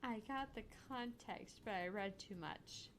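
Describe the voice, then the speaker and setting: A woman's voice: on the high side, a little slow, a bit bright, slight background noise. A woman co-hosting a gaming channel, chatting casually in banter while reading a visual novel aloud.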